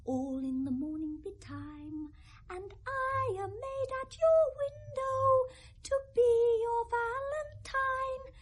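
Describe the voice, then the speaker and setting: A woman's voice: very high-pitched, expressive, slow pace, full character voice. A woman playing a grief-maddened young noblewoman, distracted and drifting between speech and song, in a Shakespeare radio play. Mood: giddy singing. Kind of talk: 1970s radio Shakespeare acting, half sung.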